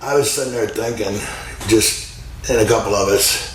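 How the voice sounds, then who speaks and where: Low-voiced older man, folksy and joking. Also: moderate pace. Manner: folksy, self-deprecating joker. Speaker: food pantry organizer, guest. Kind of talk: casual chat. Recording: echoey room with background noise.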